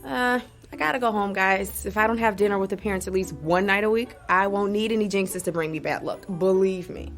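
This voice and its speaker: An actress playing a young drama student with a light, laughing manner. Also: female voice, medium pitch, a little fast, few pauses, a bit bright, faint music behind, lightly acted.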